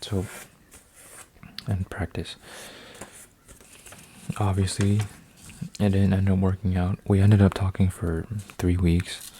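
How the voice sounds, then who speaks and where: A man in his twenties, an ASMR creator, rambling softly with lots of fillers and restarts while folding paper, sometimes dropping to a whisper. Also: low-pitched, little pitch movement, slow pace, pauses often, slight background noise. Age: 20s. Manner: meandering, full of fillers and restarts. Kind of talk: soft-spoken rambling ASMR.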